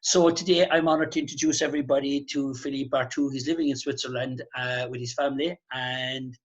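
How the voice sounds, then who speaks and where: A man's voice: mid-range voice, steady tone, brisk. Upbeat Irish man hosting, lo-fi sound. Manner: upbeat, jokey, hearty welcoming. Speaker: host. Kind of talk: casual video chat interview. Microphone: video call.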